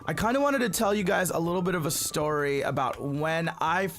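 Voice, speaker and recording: A man with a somewhat high voice, originally from the Philippines, chats loosely to his viewers while he plays. His talk wanders, full of fillers and restarts, and he keeps calling the audience "you guys.". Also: fairly quick, a bit bright. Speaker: gaming channel host. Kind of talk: casual storytelling chat while playing a game. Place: at home, family cleaning in the house.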